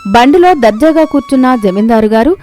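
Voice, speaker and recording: A woman's voice: sits high, expressive, fairly quick, darkish tone, faint music behind. A woman reading scripted narration of a moral tale as a storyteller.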